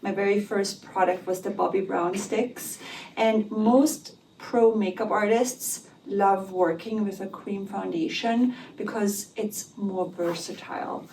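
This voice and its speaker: A woman's voice: medium pitch, steady tone, takes it slowly, a little mellow, a little hiss or hum. Chatty woman, distant phone mic. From Austria. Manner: chatty and upbeat, with fillers like "you know". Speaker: makeup artist and beauty brand founder. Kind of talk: casual vlog and product interview.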